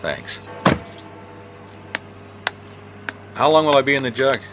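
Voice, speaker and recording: An actor playing a wry, resourceful private detective in a noir radio drama, with a colloquial, hard-boiled way of talking. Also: male voice, medium pitch, very animated, quick pace, background noise. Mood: casual, persuasive. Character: wry, resourceful male private detective narrating his case. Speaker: lead actor and narrator. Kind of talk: noir radio drama acting and first-person narration.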